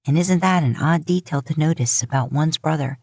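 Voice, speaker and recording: A woman's voice: deep voice, fairly quick, a little mellow, no echo, clean studio sound. A woman reading scripted fan fiction aloud as a story narrator. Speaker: podfic narrator.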